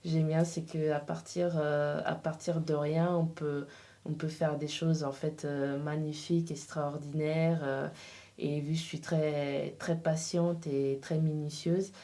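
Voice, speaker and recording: A woman's voice: low voice, level tone, measured pace, warm tone. A woman guest answering questions in a casual interview, often hesitating and searching for her words. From Portugal. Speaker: interview guest, freelance embroiderer.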